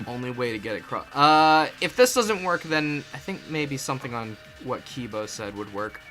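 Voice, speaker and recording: A man playing a video game with casual, excited banter. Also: medium pitch, moderate pace. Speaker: gaming channel player. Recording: faint music behind the voice.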